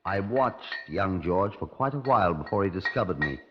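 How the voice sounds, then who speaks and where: An actor playing a courteous, wry Scotland Yard inspector, narrating his case in a measured radio crime drama style. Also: low-pitched, lively intonation, music in the background. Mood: brooding, deliberate. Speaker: detective narrator. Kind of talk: 1950s radio crime drama, first-person narration and dialogue. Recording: dull, muffled 1950s radio recording.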